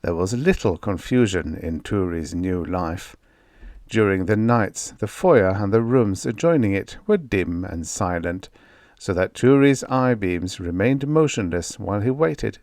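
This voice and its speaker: A man reading a vintage science fiction story aloud as an audiobook narrator, in a measured storytelling delivery. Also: on the low side, expressive, a little mellow, dry recording, studio quality.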